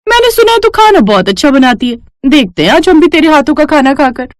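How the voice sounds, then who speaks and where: A woman voicing a rude, bossy young daughter-in-law in a cartoon story, loud and scornful. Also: sits high, big pitch swings, a little fast, no echo, clean studio sound, pitched above the performer's own voice. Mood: bossy, impatient.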